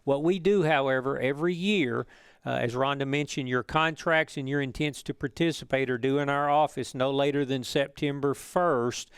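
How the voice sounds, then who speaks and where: Man, official presenter, explanatory webinar talk, measured, formal wording, crisp voice, dry sound. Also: mid-range voice, steady tone, no room sound.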